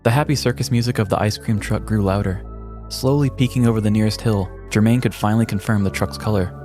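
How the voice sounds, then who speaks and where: A man's voice: lowish voice, quick pace, a little mellow, soft background music. A man podcast host reading a scripted comedic story in a mock-dramatic way.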